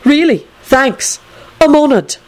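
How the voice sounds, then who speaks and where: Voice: sits high, very animated, brisk, voice pushed up. A reader voicing an exasperated, sarcastic young male wizard in a storybook, scolding and emphatic.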